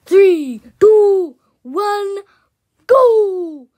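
A woman's voice: very high voice, lively intonation, very slow, darkish tone. A woman voicing a cartoon in an exaggerated way, with single words and playful babbled noises. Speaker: amateur voice actor dubbing an animation.

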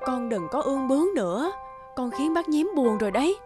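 A woman's voice: high voice, sing-song, a little fast. A woman voicing a polite, tender mother bird in a cartoon, patient and motherly. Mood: gently scolding. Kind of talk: cartoon dubbing. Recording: music behind the voice.

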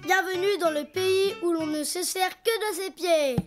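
A little donkey boy in a preschool cartoon. The voice is very high and childlike, taking its time over each playful exclamation. He is cheerful and full of ideas, with music behind him. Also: measured pace. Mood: playful, self-amused. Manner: playful, self-narrating, exclaiming. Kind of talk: French preschool cartoon voice acting.

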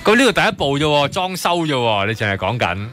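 A bright-voiced man with a Hong Kong Cantonese accent hosts a radio interview. His pitch sits on the low side but moves in lively swings as he asks and echoes back. Music plays behind him. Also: fast. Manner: inquisitive, echoes back what the guest says. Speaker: radio host. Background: background music.

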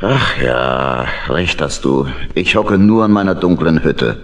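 A deep-voiced, sighing old badger uncle. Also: male voice, animated, slow, a bit bright, heavily acted. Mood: wistful, resigned. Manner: sighing, grumbling. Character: wistful homebody old badger uncle, longing for adventure. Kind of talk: German children's audio play acting.

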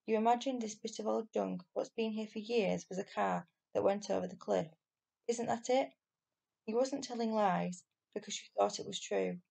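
A woman's voice: mid-range voice, creaky, a little mellow. A woman voicing a stern but kindly village policeman with colloquial speech, in a children's storybook reading. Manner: colloquial, working-class grammar.

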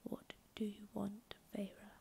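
A woman's voice: mid-range voice, monotone, slow pace, creaky, mellow, slight background noise. A woman whispering as a stern clawed faerie lord. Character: stern male faerie lord with claws and fangs. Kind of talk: whispered ASMR reading.

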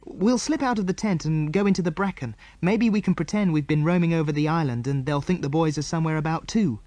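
Man playing a plucky high-pitched young girl. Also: quick pace, a little mellow, no echo, close to an everyday voice. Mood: urgent. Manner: earnest, exclamatory. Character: plucky, quick-witted young girl, defiant. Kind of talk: children's audiobook character.

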